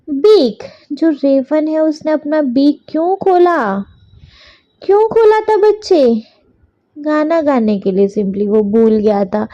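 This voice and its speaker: A woman's voice: high-pitched, mellow, dull audio. A woman schoolteacher with an Indian accent giving a question-and-answer lesson, didactic, repeating sentences as dictation and checking that pupils follow. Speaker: school English teacher. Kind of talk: textbook question-and-answer lesson in Hindi and English.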